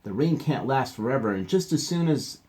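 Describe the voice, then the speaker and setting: A man's voice: animated, normal speed, mellow, close to an everyday voice. A man reading aloud voices a cheerful, hearty father bear in a children's storybook. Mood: reassuring. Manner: jolly, booming, upbeat.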